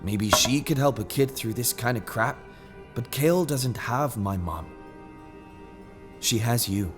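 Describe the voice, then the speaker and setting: Man acting an earnest, exasperated son, lively pitch. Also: measured pace, close to an everyday voice. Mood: frustrated, pleading. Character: frustrated, earnest grown son pleading with father. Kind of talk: animated comic fandub acting. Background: music.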